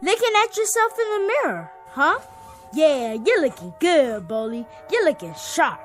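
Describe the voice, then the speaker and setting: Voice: high-pitched, very animated, a little slow. A young performer playing an earnest, devoted little boy, eager and insistent, in a vintage television drama. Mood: excited. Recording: archival TV soundtrack with music.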